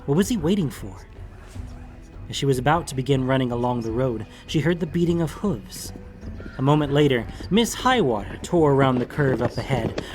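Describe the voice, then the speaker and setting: A man's voice: mid-range voice, rough recording. A man reading a fantasy story as a scripted, dramatic audiobook narration. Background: background music.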